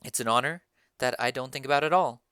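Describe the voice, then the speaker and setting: A woman's voice: rumbling low, fast talker, a bit bright, clean tone, no room sound, studio quality, pitched below the performer's own voice. A woman voicing a polite, reserved young noble girl in a dramatic reading of a play.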